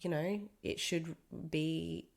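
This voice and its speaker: Low, raspy woman, slow and creaky. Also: fairly even intonation. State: husky from a lingering cold with a cough. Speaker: podcast co-host. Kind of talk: casual conversational podcast chat.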